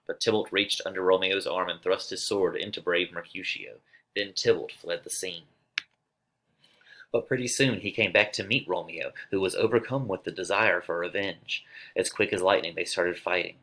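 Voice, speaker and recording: A man's voice: low voice, steady tone, normal speed, sharp tone, heavily acted, rough recording. A man playing an earnest, loyal young nobleman giving his lines in a declamatory play reading.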